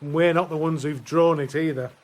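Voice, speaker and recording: An actor playing a grim, weary First World War soldier counselling a lad in a radio drama, measured and earnest with pauses. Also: male voice, a little high, steady tone, a little hiss or hum, lightly acted. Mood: grim, intense.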